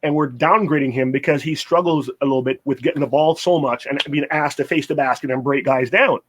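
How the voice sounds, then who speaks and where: A man's voice: medium pitch, a little fast, slightly rough. A middle-aged man co-hosting, giving conversational advice and repeating phrases for emphasis. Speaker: co-host, basketball mentor.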